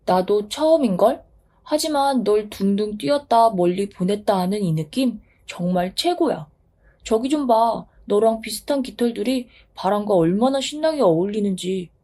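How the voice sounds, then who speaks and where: A woman's voice: mid-range voice, lively intonation, moderate pace, mellow, natural voice. A woman voicing the wind as a playful, boastful newborn figure speaking eagerly and breezily.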